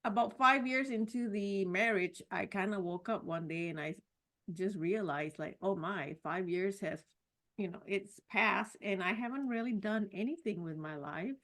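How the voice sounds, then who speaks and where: A middle-aged woman from Singapore tells her story as a podcast guest. Her voice sits at a middle pitch and she speaks reflectively, often stopping to restart. The tone stays casual and personal. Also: normal speed. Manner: warm, reflective, with frequent "you know" and restarts.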